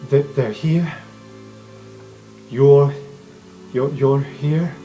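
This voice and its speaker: A man playing an anxious professor in a tabletop game, asking urgent, halting questions. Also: mid-range voice, muffled recording, soft background music. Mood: anxious questioning. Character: anxious, questioning male professor taking control.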